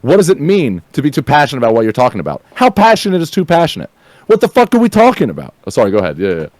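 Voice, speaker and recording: A man talking casually, fast and passionately, swearing, repeating and restarting words. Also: a little high, huge pitch swings, a little mellow, no echo. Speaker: streamer guest. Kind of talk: casual chat.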